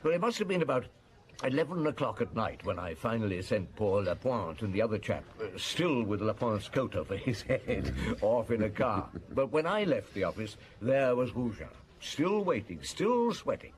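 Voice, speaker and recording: An actor playing a weary, wry French police chief inspector in a radio drama, speaking conversationally. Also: male voice, medium pitch, sing-song, normal speed, close to an everyday voice. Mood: reminiscing. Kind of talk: radio drama dialogue and reminiscence.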